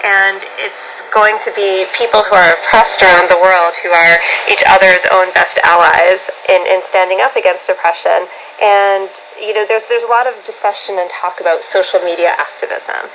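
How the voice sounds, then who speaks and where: A muffled, noisy shortwave signal carries a woman with a mid-pitched voice. She speaks as an interviewed commentator, unscripted, articulate and earnest. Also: fairly even intonation, normal speed, few pauses.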